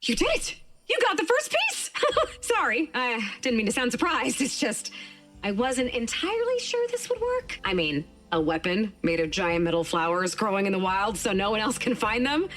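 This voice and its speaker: Scatterbrained cheerful helper, gushing, awkward rambling, highish voice, very swoopy delivery, game cutscene, faint music. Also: female voice, sing-song, normal speed, a bit bright. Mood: bubbly, nervous. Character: bubbly, scatterbrained, encouraging woman helper. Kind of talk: animated game cutscene acting.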